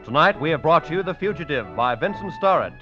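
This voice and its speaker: A man announcing formally and with measured enunciation, in the style of 1940s radio. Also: fairly high pitch, big pitch swings, pushed and bright. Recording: dull vintage 1940s radio with music.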